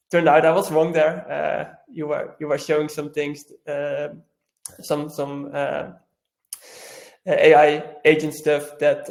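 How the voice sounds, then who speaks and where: Guy introducing the speaker, kinda high gravelly voice, flat, stops and restarts a lot. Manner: halting, repetitive. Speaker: co-host introducing the guest. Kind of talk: guest introduction.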